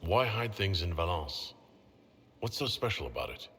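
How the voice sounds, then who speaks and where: An actor playing a resolute, sober military leader in a game cutscene, speaking firmly and deliberately. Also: male voice, low-pitched, very animated, pushed and bright, slight background noise, full character voice. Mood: concerned. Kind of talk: video game cutscene drama. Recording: background ambience behind voice.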